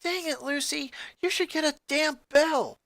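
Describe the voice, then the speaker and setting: A reader voicing a hot-tempered, brash thirteen-year-old athletic girl in a story, high-strung and pushy. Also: a little slow, creaky, fairly bright tone, no room sound, full character voice. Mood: irritated.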